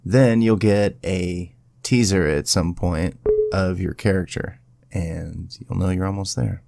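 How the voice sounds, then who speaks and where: A man presenting a casual step-by-step software tutorial in an informal, instructional way. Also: low-pitched, fairly even intonation, slow pace, vocal fry, slight background noise.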